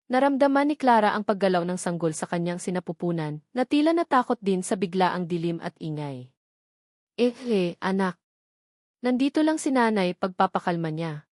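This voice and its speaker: A woman's voice: fairly low pitch, no echo. Woman narrating a dramatic story, dry sound.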